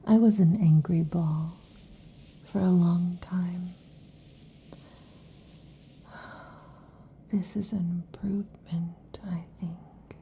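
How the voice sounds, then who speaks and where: A woman voicing a restless, affectionate female lamia in an ASMR roleplay, whispering softly. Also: on the low side, steady tone, drawn-out words, long pauses, a little hiss or hum. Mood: whispery, intimate. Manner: soft intimate whispering. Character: restless affectionate female lamia, snake-tailed monster girl.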